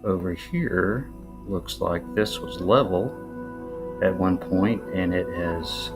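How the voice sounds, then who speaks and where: A man giving casual, unscripted commentary in a musing, halting way, often posing rhetorical questions. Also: sits low. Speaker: video narrator. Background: new-age music.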